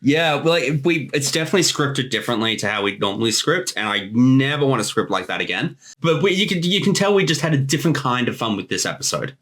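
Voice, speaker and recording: A man's voice: mid-range voice, big pitch swings, fast, few pauses, fairly bright tone. Casual man giving a creator commentary. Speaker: director and co-host of a creator commentary.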